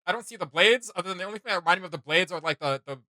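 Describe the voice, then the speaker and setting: A man's voice: sits high, rapid-fire, bright, forceful tone, no room sound, studio quality. A man hosting a reaction video with casual, unscripted commentary, rambling through his thoughts in slangy, enthusiastic fan talk.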